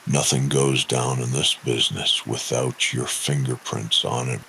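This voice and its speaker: A man playing a bitter, menacing wrestler with a gravelly voice and a curt, accusing manner in a noir audio drama. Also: extremely low pitch, steady tone, a little slow, sharp tone, slight background noise, pitched below the performer's own voice, heavily acted, rough recording. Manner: curt, accusing, world-weary. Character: bitter, menacing old wrestler hunting a killer.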